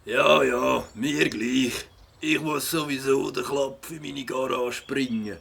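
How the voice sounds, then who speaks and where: An actor playing a gruff, mocking bully with a creaky voice and a Swiss German accent in a children's audio drama. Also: male voice, medium pitch, a bit bright, heavily acted. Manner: mocking, slow, dismissive. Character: jeering, gruff male bully and tinkerer with cars.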